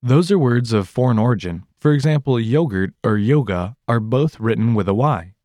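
American male podcast host, animated pitch, warm mellow voice, scripted lesson. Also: normal speed, no echo, studio quality. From United States.

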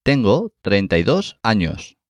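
A man teaching Spanish as co-host of a scripted language lesson, saying each phrase slowly and clearly and encouraging the learner. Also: fairly low pitch, big pitch swings, dry recording, studio quality.

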